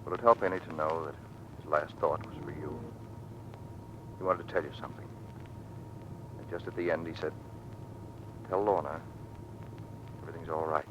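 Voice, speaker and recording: An actor playing an earnest, loyal young American navy pilot in an old-time radio drama. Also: male voice, fairly even intonation, normal speed, pauses often, dull audio. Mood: subdued, grieving. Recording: noisy vintage radio recording with engine rumble.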